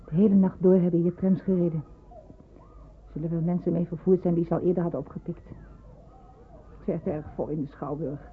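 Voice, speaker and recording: Low-voiced sober actress as a nursery worker, tinny recording. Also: female voice, fairly even intonation, brisk, pauses often, natural voice. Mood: sober, guarded. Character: sober, compassionate nursery worker, cautious adult woman. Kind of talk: radio drama acting. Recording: thin phone-like band, noisy old radio play.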